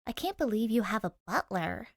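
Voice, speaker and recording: A woman voicing an eager, impulsive young girl in an audiobook story, casual and expressive. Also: fairly high pitch, normal speed, no echo, clean studio sound. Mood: amazed, curious.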